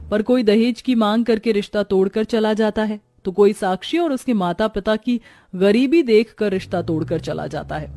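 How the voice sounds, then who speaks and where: A woman narrates a scripted moral tale as a storyteller. Her voice is mellow and soft-edged, at an ordinary middle pitch.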